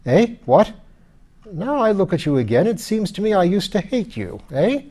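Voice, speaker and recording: A man voicing a pompous, irritable, hard-drinking skeleton lord in an audiobook, rough and blustering in old-fashioned aristocratic speech. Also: high voice, sing-song, moderate pace, dark tone, voice pushed up. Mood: querulous. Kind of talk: audiobook character voice.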